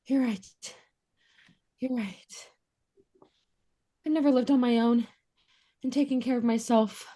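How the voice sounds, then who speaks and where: Soft-toned, highish young bride, played by a woman. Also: fairly even intonation, slow pace, pauses often. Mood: hesitant, resolute. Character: sheltered young runaway bride, unsure and earnest. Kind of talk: sitcom table read.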